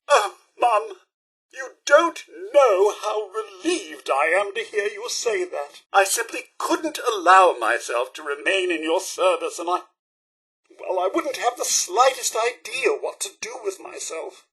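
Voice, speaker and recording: An actor playing a prim, fussy robot butler in a video game, with a British accent, a raspy metallic edge and formal, theatrical politeness. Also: male voice, sits high, sharp tone, full character voice. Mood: earnest, wounded. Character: prim, fussy male robot butler, devoted yet snippy.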